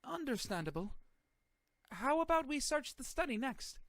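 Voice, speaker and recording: A woman voicing a bewildered amateur sleuth with a Scottish accent in an animated mystery. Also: high-pitched, moderate pace, no echo, studio quality. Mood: thoughtful. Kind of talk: animated fan-drama voice acting. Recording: background music.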